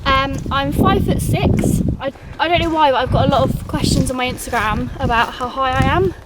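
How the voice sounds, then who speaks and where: A woman's voice: sits high, normal speed. A woman in her twenties from England, chatting casually with lots of fillers while she walks outdoors in a forest. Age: 20s. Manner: chatty, frequent fillers, laughs at herself. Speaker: vlogger. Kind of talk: casual chat while walking. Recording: loud outdoor background noise.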